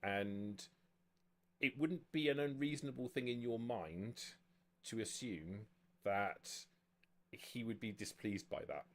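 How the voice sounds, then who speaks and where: A man speaking as game master, explaining the rules of a tabletop roleplaying game in a measured way. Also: lowish voice.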